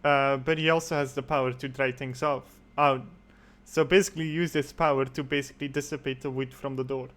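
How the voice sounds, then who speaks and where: A man hosting a casual online interview show, easygoing and quick to chuckle. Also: medium pitch, fairly even intonation, a bit bright. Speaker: podcast host. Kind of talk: casual chat.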